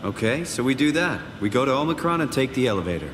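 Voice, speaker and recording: A man's voice: mid-range voice, very animated, fast, fairly bright tone, a little hiss or hum. An actor playing a wry, uneasy everyman survivor in a sci-fi horror video game, speaking in an incredulous, conversational way. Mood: determined. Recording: echoey, long reverb, music behind.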